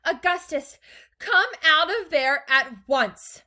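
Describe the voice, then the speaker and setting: A woman's voice: high voice, animated, slow pace, pushed and bright, pitched above the performer's own voice, full character voice. A woman voicing a frantic, bossy mother in a storybook, screaming and snapping indignantly. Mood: panicked.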